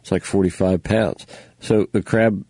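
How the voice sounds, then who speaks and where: A man's voice: low voice, fairly even intonation, measured pace, a little mellow, husky, no room sound. An older man hosting a local radio show, talking casually in a folksy, rambling way with lots of fillers, sounding stuffy. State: stuffy nose. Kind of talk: casual on-air talk, reading news items.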